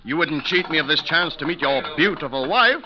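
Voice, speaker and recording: An actor playing a polite, emotional gentleman with an earnest, formal way of speaking in a 1940s radio drama. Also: on the high side, lively intonation, a little fast, close to an everyday voice. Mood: jovial, teasing. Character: polite, emotional gentleman passenger recounting a tragedy. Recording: dull vintage radio recording, crowd bustle.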